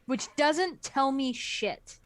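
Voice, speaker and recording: A woman's voice: high voice, lively intonation, takes it slowly. A woman co-hosting a comedic book club chat, bantering in a dry, sardonic way.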